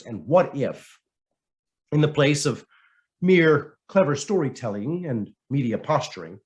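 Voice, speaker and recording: Expressive man reading with big pitch swings. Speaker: reader of written commentary. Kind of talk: scripted narration, reading an essay aloud.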